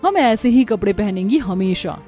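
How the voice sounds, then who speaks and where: A woman's voice: medium pitch, expressive, normal speed, warm tone, soft background music, lightly acted. A woman playing a haughty, defiant young city daughter-in-law in a cartoon drama. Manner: disdainful, defiant.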